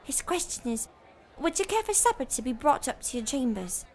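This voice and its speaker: A woman's voice: sits high, very animated, normal speed, a little mellow. An actress playing a young servant girl who is polite, eager and a bit flustered. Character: timid young female servant. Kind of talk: audio drama acting.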